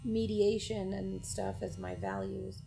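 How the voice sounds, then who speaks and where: A woman plays in a tabletop roleplaying game, talking casually in a medium-pitched voice. Faint music runs underneath her. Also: sing-song, measured pace, vocal fry, warm tone, rough recording.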